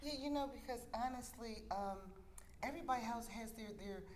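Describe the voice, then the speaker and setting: A woman's voice: on the high side, fairly quick, a little hiss or hum. A woman hosting a talk show in casual, joking chat, with a playful, blunt, teasing and colloquial manner.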